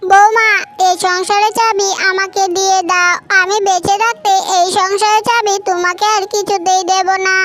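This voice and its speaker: A woman's voice: extremely high pitch, fairly even intonation, normal speed, fairly bright tone, faint music behind. A woman voicing an older, bossy, suspicious stepmother-in-law bird in a cartoon story, with a scolding, nagging manner. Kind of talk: Bengali cartoon story acting.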